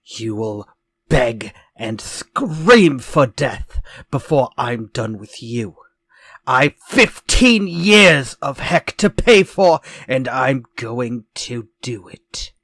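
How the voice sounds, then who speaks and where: Slow, menacing vengeful ex-convict. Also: male voice, medium pitch, animated, a bit bright, no room sound, exaggerated voice. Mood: vengeful menace. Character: sinister vengeful ex-convict murderer visiting a stuck writer. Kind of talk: retro radio drama acting.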